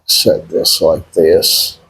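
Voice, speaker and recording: A man with a Southern US accent giving casual, rambling opinions to viewers in a halting, folksy, blunt way. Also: fairly bright tone, poor audio. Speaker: boxing channel host.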